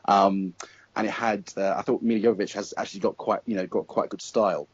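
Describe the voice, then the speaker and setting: A middle-aged man with a low, husky voice talks films in a thoughtful, halting way on a casual panel. The recording is close and dry but slightly dull. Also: steady tone, no echo. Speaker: guest film critic on a panel. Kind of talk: casual opinionated panel discussion.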